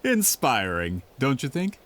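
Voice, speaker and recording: Theatrical, swooping suave schemer. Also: male voice, medium pitch, huge pitch swings, a little slow, fairly bright tone. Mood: persuasive. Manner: polished, ingratiating, theatrical. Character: suave, theatrical male writer and employer, flattering schemer. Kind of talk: video game voice acting. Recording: music behind the voice.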